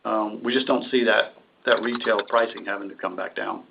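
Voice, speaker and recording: A man's voice: fairly low pitch, fairly even intonation, normal speed, creaky. A man speaking as a company executive, answering analysts' questions on a conference call. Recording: muffled, noisy conference-call line with clicks.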